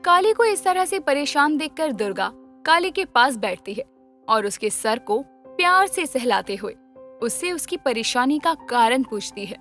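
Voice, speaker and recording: A woman giving scripted storybook narration as the narrator of an animated tale. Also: high-pitched, moderate pace, faint music behind.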